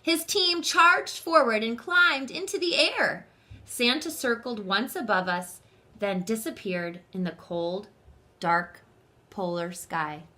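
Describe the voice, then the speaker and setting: A woman reading a children's story aloud with dramatic, deliberate phrasing and questions put to her listeners. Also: on the high side, pushed and bright. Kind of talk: expressive children's read-aloud with asides to the listeners.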